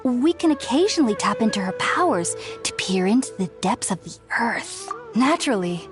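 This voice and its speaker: A woman playing a studious, polite young researcher in a video game, speaking formally, earnestly and with precise, measured phrasing. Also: fairly high pitch, sing-song. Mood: explanatory. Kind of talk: scripted game character dialogue. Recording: music behind the voice.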